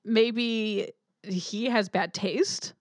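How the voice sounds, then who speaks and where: A woman's voice: mid-range voice, steady tone, drawn-out words, no echo, clean studio sound. A woman co-hosting a podcast, bluntly skeptical in casual movie chat, often trailing off mid-thought. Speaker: podcast co-host.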